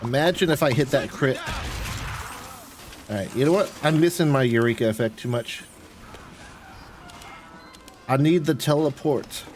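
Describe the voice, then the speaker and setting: A man's voice: mid-range voice, measured pace, pauses often, soft background music. A man streaming a video game, giving casual live commentary with mild, exclamatory grumbling. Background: video game sounds.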